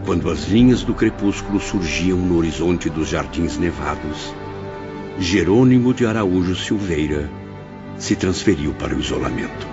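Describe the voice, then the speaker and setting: Reflective memoir narrator male actor, formal storytelling, animated delivery; dull sound, music behind. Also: low voice, moderate pace. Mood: solemn. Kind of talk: scripted dramatic narration. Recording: dull audio under prominent music.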